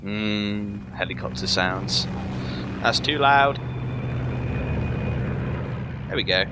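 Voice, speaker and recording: A man's voice: on the low side, slow pace, heavy background noise. A man giving casual let's-play commentary as a gaming host, rambling and jokey with some swearing. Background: game music.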